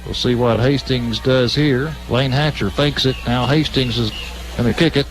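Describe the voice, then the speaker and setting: A man's voice: mid-range voice, steady tone, normal speed, soft background music, poor audio. An American man doing live play-by-play radio commentary of a football game in a clipped running style that builds on big plays. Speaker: play-by-play announcer. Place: stadium broadcast position at a high school game.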